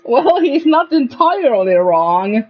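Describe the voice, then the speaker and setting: Slow-talking woman streaming a game. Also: sits high, big pitch swings, slight background noise. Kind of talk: casual reading and reacting to gameplay. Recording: dull mic over game music.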